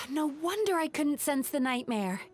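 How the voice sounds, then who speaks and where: A woman playing a gentle, pensive young dream reader in a video game, musing as she speaks. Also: high-pitched, big pitch swings, a little slow. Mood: relieved. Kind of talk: soft game voice acting.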